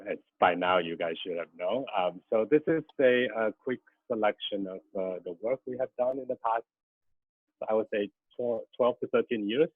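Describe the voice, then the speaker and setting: A man's voice: low voice, dry recording. A man in his thirties from Taiwan whose first language is Mandarin, giving a guest lecture on a video call, hesitant with many ums and restarts. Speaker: guest lecturer, graphic designer. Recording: tinny dry video-call audio.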